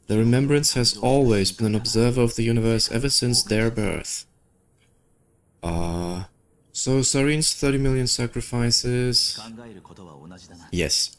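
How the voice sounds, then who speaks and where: A man who streams games, reading story dialogue aloud and commenting casually with offhand, skeptical asides. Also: medium pitch. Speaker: gaming streamer. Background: game music.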